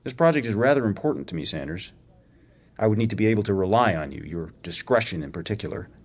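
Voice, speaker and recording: An actor playing a wry, probing academic research professor in a radio drama, with a dryly sardonic, precise way of talking. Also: male voice, on the low side, animated, quick pace, husky. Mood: serious, exacting. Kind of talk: radio theatre acting, dramatic dialogue.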